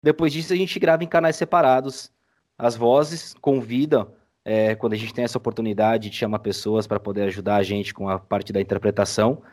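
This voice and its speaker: A man's voice: fairly low pitch, steady tone, a little fast, a little mellow. A man from Brazil with a Brazilian accent, chatting casually as a podcast guest and explaining his editing work. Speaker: podcast guest, audiodrama editor. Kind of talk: casual explanatory interview chat.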